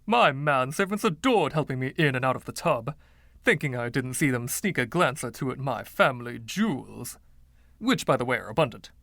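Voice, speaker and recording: A man's voice: medium pitch, animated, normal speed, gravelly, fairly bright tone, dry recording, clean studio sound. A man voicing the haughty, indignant ghost of a rich 1920s dandy, pompous and fussy, with old-fashioned slang, in a story reading.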